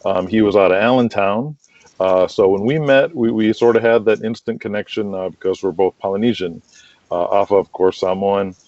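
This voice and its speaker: A man's voice: fairly low pitch, steady tone, moderate pace, a little mellow, no echo, muffled recording. A middle-aged American man telling rambling anecdotes casually as an interview guest. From United States. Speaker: interview guest, wrestling promoter and manager.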